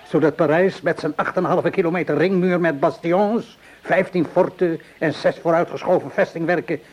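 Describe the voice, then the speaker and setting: Man acting a wary, cynical tavern keeper, higher-pitched. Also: dull audio, lightly acted. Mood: scornful, sardonic. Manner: weary, sardonic, measured. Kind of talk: Dutch radio play acting. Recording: noisy old radio play recording.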